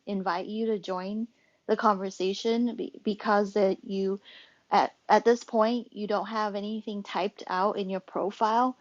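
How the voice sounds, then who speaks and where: A woman's voice: mid-range voice, no echo, muffled recording. Woman hosting a casual audio chat room. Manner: rambling, many fillers and restarts. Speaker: host and club moderator.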